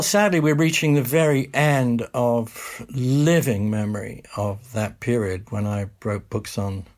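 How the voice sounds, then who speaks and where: An older man from Britain talks through history as an interview guest. His voice is gravelly and a little husky, lower than most. He answers in a measured, thoughtful way, now and then restarting a sentence. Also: darkish tone.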